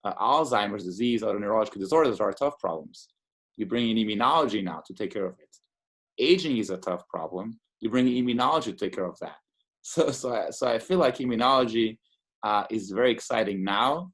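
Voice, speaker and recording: A man's voice: lowish voice, lively intonation, a little fast, fairly bright tone. A man from Portugal, an immunologist in his thirties, talking enthusiastically in a casual interview with many fillers and restarts. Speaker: immunologist guest.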